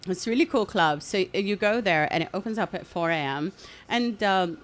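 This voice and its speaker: A woman's voice: medium pitch, lively intonation, brisk. Candid woman in her fifties, storytelling. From Greece. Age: 50s. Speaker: guest comedian. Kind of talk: casual interview chat. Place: podcast studio.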